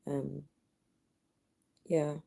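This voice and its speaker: A woman talks in a low, hushed, gravelly voice that is almost gone from hoarseness. Her tone is dark and soft. She chats earnestly and a little wearily with her live viewers, speaking quietly so she won't wake anyone. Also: level tone, very slow. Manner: earnest, drifting, tired. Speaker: livestreamer. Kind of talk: casual live chat reading viewer comments. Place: her home at night with others asleep. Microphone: built-in device mic, no dedicated microphone.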